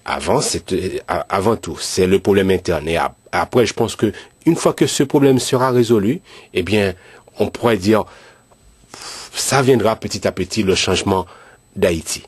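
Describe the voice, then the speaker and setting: A man who is a pastor, from Haiti, speaking earnestly and in a measured way about his country. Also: low-pitched, husky, dry recording. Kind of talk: earnest commentary.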